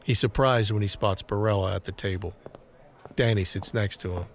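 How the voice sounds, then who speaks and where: A man narrating a scripted audio drama in a deliberate, dramatic manner. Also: on the low side. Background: dramatic music.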